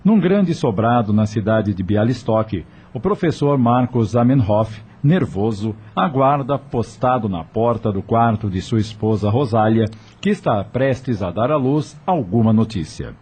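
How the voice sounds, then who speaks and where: A man with a husky voice reading scripted narration for a radio drama. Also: medium pitch, expressive, a little slow, few pauses, a little mellow.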